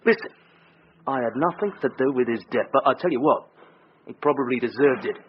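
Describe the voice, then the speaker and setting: A man's voice: medium pitch, expressive, brisk, highs cut off, slight background noise, lightly acted. An actor playing a defensive, sneering British man under police questioning in a radio drama.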